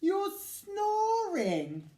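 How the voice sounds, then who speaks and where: Small frightened boy mouse for a storybook, played by a man: high-pitched, pleading, very animated, in an echoey room. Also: very slow, heavily acted. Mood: plaintive. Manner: plaintive, stammering when scared, exaggerated. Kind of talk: children's storybook character voice.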